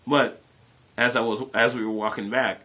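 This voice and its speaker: A man with a mid-pitched voice tells a story in a casual, conversational way. He is animated and expressive, repeating short phrases and slipping into bits of acted-out dialogue as he addresses his online viewers. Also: moderate pace. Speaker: YouTube storyteller. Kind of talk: casual personal storytelling to camera.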